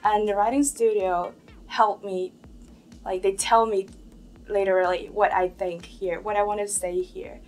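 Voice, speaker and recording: Young Chinese woman, animated student. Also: mid-range voice, unhurried. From southern China. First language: Cantonese. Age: young adult. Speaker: first-year student. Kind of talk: documentary interview.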